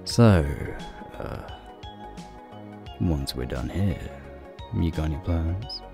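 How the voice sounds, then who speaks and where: A man playing a flirty, teasing werewolf guide in an ASMR roleplay, talking softly and playfully to the listener in a gravelly, breathy voice. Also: animated, slow pace, over music, exaggerated voice. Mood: flirty, warm. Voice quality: deep, gravelly, breathy low voice. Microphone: close microphone.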